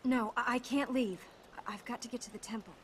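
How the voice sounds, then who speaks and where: A woman's voice: a little high, a little fast, slight background noise, natural voice. An actress playing a young princess with magic who speaks earnestly but guards her secrets. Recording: over loud game music.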